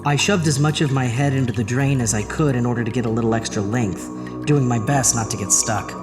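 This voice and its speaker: Male actor, haunted everyman, mid-pitched voice, first-person horror narration, dramatic storytelling, audio drama. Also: a little fast, big-room sound, music in the background, natural voice. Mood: uneasy. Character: Arthur, troubled married man haunted by a drain.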